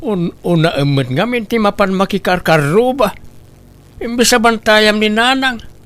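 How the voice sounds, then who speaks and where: A man's voice: high voice, a little slow, a bit bright. A man with an Ilocano accent acting in a comedy drama, speaking in a scolding, reproachful way. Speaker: drama voice actor, husband.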